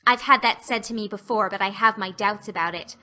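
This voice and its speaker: A woman's voice: mid-range voice, brisk, pushed and bright, natural voice. A woman voicing an earnest, dreamy, talkative orphan girl with effusive, flowery speech in an audiobook. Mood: dreamy, chattering. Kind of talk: audiobook acting.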